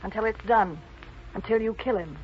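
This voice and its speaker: An actress playing a bitter, scornful wife in an old radio drama, with theatrical, commanding delivery. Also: female voice, medium pitch, normal speed, lightly acted. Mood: scornful, demanding. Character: bitter, scornful adulterous wife plotting her husband's murder. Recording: dull lo-fi old radio, faint noise.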